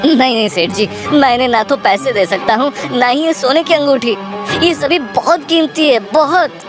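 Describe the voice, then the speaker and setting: A woman's voice: mid-range voice, animated, a little fast, music in the background, pitched below the performer's own voice, natural voice. A woman voicing a stingy, stubborn young village man in a cartoon, whiny and protesting in manner. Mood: defiant. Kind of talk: exaggerated Hindi cartoon acting.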